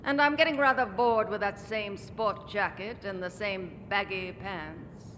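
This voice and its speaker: A vain, faded movie star acted out by a woman speaks with grand, haughty theatricality. The voice is sharp and bright, ringing through a reverberant theater as in an old radio play. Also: mid-range voice, measured pace, a little hiss or hum, natural voice. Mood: imperious, coaxing. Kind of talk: old-time radio drama acting. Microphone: vintage-style stand microphone. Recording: live theater stage, long hall reverb.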